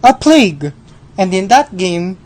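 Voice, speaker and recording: A woman playing Death, a sardonic, playful, menacing male personification, in a radio drama with a slow, mocking delivery. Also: medium pitch, sing-song, darkish tone. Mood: wry, amused.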